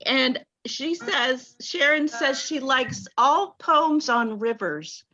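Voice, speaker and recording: A woman reading out a playwright's answers in an online talk back, warm and enthusiastic. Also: high voice, animated, slow. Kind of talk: video call talk back. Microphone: video call. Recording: dull lo-fi call audio.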